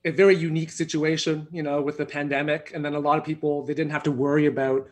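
Male panelist, higher-than-average pitch, conversational and informal delivery. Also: level tone, quick pace. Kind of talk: casual discussion.

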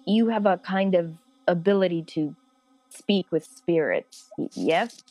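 A woman playing a solemn, literal-minded spiritual client in an audio drama, speaking formally and earnestly with deliberate pauses. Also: on the low side, a little mellow, rough recording. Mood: formal, insistent.

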